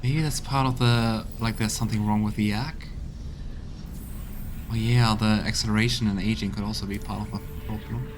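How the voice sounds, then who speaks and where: A man's voice: on the low side, fairly even intonation, moderate pace, over music. A man giving casual, reactive commentary as he plays through a fantasy video game on stream. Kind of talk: voiced let's play commentary, casual reactions mixed with reading dialogue.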